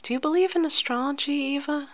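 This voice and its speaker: A woman speaking softly, at times close to a whisper, in a gentle, soothing ASMR roleplay as a life coach talking to the listener. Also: high-pitched. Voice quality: soft, often near-whispered. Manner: gentle, unhurried, soothing, addressing the listener directly. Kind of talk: soft-spoken ASMR roleplay.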